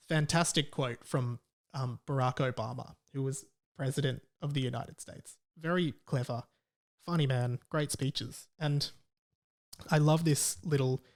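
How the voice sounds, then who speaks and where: An Australian man hosting a podcast, talking casually on his own in a rambling, opinionated, sweary way. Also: medium pitch. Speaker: podcast host. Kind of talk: casual solo podcast chat.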